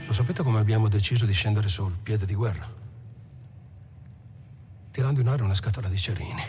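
An actor playing a fatalistic, philosophizing bank robber in a rhetorical film monologue. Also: male voice, lowish voice, a little fast, darkish tone, close to an everyday voice. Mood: coolly fatalistic. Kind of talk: dramatic monologue. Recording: music behind the voice.

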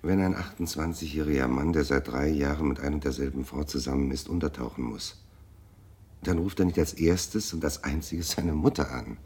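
A persistent investigator with an extremely low, mellow male voice. He is formal and measured, calculating as he presses his point in a naturalistic radio play. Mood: quietly pressing. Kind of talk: naturalistic radio drama acting.

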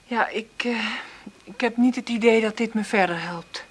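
An actress playing a hesitant, discouraged woman in a radio play, halting and apologetic. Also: a little high, lightly acted. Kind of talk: natural radio play acting.

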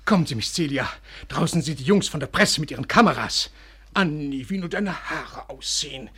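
An actor playing a fussy, excitable, publicity-hungry film producer in a 1950s German radio play, agitated and gushing. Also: male voice, a little high, normal speed, breathy edge, full character voice. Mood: bustling.

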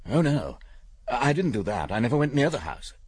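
An actor playing an evasive gentleman lover under suspicion in a radio drama, defensive and flustered. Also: mid-range voice, big pitch swings, normal speed, slightly rough, no echo. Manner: defensive, protesting. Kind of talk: British radio drama acting.

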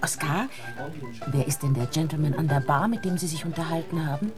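An actress playing an elegant, flirtatious countess in a radio play, coy and inquisitive. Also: female voice, low voice, brisk, natural voice. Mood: curious, coy. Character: elegant flirtatious countess, hunting married and unmarried men. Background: music.

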